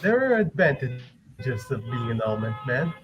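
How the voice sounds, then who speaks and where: A man's voice: medium pitch, very animated, moderate pace, over music, close to an everyday voice. A man playing a smug, lazy, stretchy superhero in a comic book reading, on a dull recording. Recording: dull audio with faint noise.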